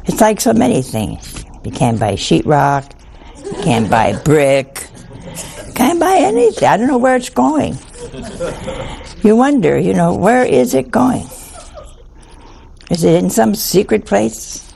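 An older woman, a nun, giving an informal spiritual talk in a hoarse voice, chatty and humorous. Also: medium pitch, sing-song, unhurried, long pauses, mellow. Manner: chatty, humorous, laughs at own stories.

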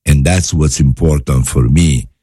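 A man from Italy with an Italian accent, a guest chef talking in a studio interview, halting with many fillers and restarts. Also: very deep voice, warm tone, slightly rough, no echo. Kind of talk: interview conversation.

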